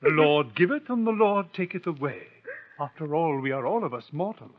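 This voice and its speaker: Man playing fussy country doctor, raised animated voice, brisk, muffled hissy radio recording. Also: on the high side, close to an everyday voice. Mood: consoling, grave. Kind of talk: old-time radio drama acting.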